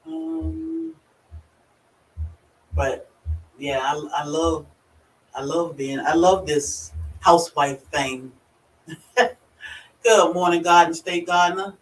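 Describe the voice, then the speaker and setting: A woman with a rural Virginia accent hosting a casual livestream, chatty and quick to laugh as she greets viewers by name. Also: sits low, animated. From Virginia, USA. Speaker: livestream host. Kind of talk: casual live chat. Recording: echoey room, far from the mic.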